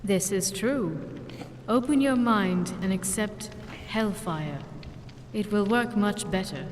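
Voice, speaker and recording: A woman voicing a calm master mage instructor in a fantasy video game, with measured, instructive delivery. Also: medium pitch, natural voice. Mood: calm instruction. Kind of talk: scripted video game dialogue. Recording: long hall reverb, faint noise.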